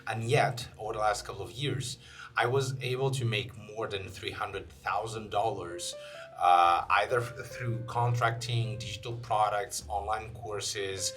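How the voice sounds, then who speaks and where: Man, Portuguese accent, young adult, low pitch, halting delivery with fillers, faint guitar music. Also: steady tone, fairly bright tone. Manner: halting, many fillers and restarts. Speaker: channel host. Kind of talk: casual talk to camera.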